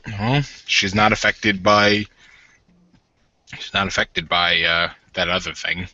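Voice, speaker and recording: Man, tabletop roleplay narration, very bright tense tone, stop-start phrasing, slightly muffled audio. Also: deep voice, fairly even intonation, slow. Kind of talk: casual in-character roleplay with narration.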